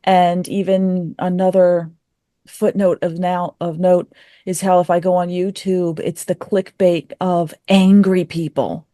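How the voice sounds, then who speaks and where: A woman's voice: on the low side, flat delivery, measured pace, mellow, no echo, studio quality. A woman chatting casually and informally as a guest answering questions on a podcast. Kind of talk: casual chat.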